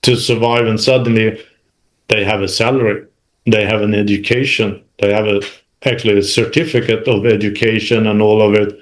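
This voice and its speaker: A man from Sweden, speaking as an interview guest about his working life in a halting, self-correcting conversational style. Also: lowish voice, little pitch movement. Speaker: interview guest, manufacturing executive. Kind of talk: recounting career experience in conversation.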